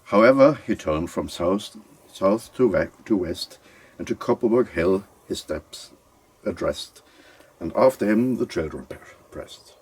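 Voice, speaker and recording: A man reading narrative verse aloud as an amateur, halting and restarting words as he goes. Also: deep voice, animated, darkish tone, breathy edge, poor audio. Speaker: amateur volunteer reader.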